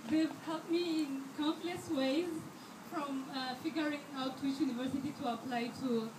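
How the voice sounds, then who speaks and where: A woman's voice: sits high, steady tone, moderate pace. A woman in her twenties from Rwanda giving an earnest speech at a fundraiser, with many fillers and restarts. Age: 20s. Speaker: scholarship student giving a speech. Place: event hall. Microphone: handheld microphone held too far away. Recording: echoey hall, distant mic, background noise.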